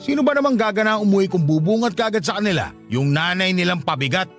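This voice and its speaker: A man's voice: high-pitched, expressive, quick pace, a bit bright. A man playing a callous, scornful middle-aged husband in a radio drama, dismissive and impatient, with a Filipino accent. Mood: impatient, blaming. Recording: faint music bed behind voice.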